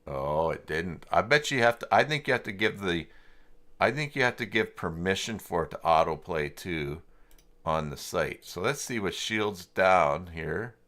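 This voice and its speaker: A man hosting a casual live software tutorial, thinking aloud in an informal, unscripted way as he works through the steps. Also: low voice, expressive, measured pace. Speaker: livestream host and software tutor.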